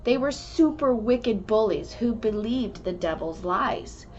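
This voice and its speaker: A woman reading a rhyming children's book aloud in an expressive, measured storytelling manner. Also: a little high. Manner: animated, measured storytelling delivery. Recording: dull lo-fi recording with background noise.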